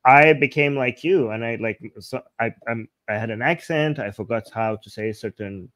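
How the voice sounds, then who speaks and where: Male host, chatty, halting restarts, mid-pitched, dry close sound. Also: a bit bright, no echo. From Iran. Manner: chatty, restarts sentences often. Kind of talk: casual video-call interview.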